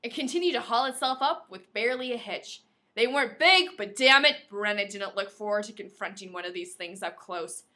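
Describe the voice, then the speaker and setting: A woman's voice: mid-range voice, expressive, fairly quick, sharp tone. A woman co-hosting a podcast, reading a novel aloud with playful, exclamatory banter and theatrical delivery of the quoted dialogue. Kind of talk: book read-aloud with casual banter.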